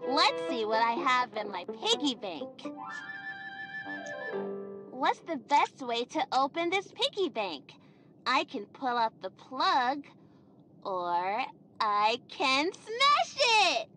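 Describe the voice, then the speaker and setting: A cheerful little girl presents a cartoon children's show. Her voice is high and animated, and she speaks slowly and sing-song, putting questions straight to young viewers. Music plays behind her. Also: full character voice. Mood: bright, cheerful. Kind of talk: children's educational cartoon acting.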